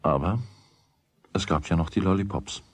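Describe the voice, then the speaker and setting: An actor narrating a children's radio play as a wry storyteller with a husky voice. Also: male voice, low-pitched, moderate pace, dry recording. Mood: wry, matter-of-fact.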